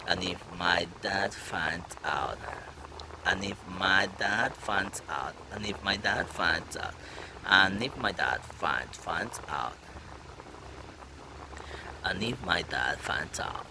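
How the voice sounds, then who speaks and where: A man practicing English lines out loud while painting, repeating phrases and translating them to Spanish. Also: deep voice. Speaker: language learner drawing while practicing. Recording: noisy home recording.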